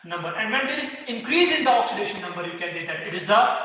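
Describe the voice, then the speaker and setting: Quick, bright-voiced male teacher. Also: sits high, lively intonation. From Kargil. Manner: repeats key phrases, quizzes students. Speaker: chemistry teacher. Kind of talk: classroom lecture at a whiteboard. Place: classroom with a whiteboard. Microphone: far camera. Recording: echoey room, dull lo-fi sound.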